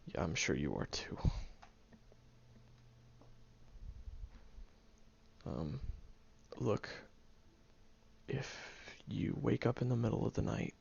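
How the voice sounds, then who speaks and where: A man playing a gruff, gravelly reluctant friend. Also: on the low side, big pitch swings, unhurried, a little mellow, a little hiss or hum, full character voice. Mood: teasing. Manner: grumbling, hesitant, awkwardly tender. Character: prickly gruff young man, secretly caring friend. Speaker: audio roleplay performer. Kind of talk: audio roleplay to the listener. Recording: dull lo-fi recording.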